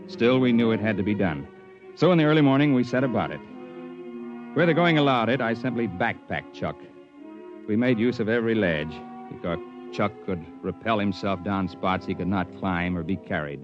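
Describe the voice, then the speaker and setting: Guy playing a rugged mountain man narrator, dry and plain, muffled old radio recording with music clearly behind. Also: lowish voice, animated, normal speed, close to an everyday voice. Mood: reflective narrating. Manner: plain, dry, matter-of-fact storytelling. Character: rugged, plainspoken mountaineer telling his own adventure. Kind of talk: old-time radio narration and dramatic acting.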